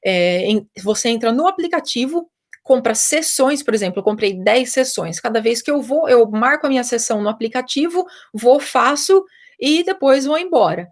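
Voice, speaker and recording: A woman's voice: a little high, animated, normal speed. A young Brazilian woman, a personal trainer, chatting casually and explaining things to viewers, with lots of fillers. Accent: Brazilian Portuguese. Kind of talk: casual live chat answering viewer questions.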